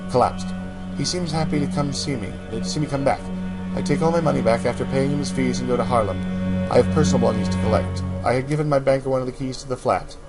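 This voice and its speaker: A man's voice: medium pitch, fast talker, poor audio. A man hosting a let's play, reading in-game journals aloud and making sarcastic asides. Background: music.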